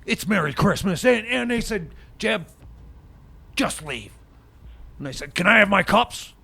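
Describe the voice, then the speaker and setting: Guy doing a blustery mechanic type, stammers nonstop, raised gravelly voice. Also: high-pitched, lively intonation, pushed and bright, exaggerated voice. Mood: indignant. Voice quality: stammering, halting. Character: blustering cranky male time machine mechanic. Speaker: recurring comic character. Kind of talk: improvised comedy radio bit.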